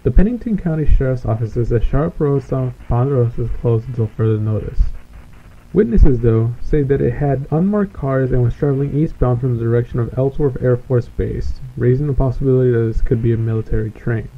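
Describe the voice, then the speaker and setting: A man's voice: mid-range voice, a little fast, lightly acted. A man playing a composed small-town TV news anchor, giving a formal, matter-of-fact scripted report. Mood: composed, serious. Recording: muffled lo-fi VHS news tape.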